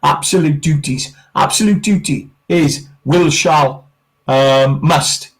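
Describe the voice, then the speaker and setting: A man with a slightly high voice patiently laying out rules as a talk show guest. He is steady and explanatory, even when the talk gets heated. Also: takes it slowly, poor audio. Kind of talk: explaining in casual chat.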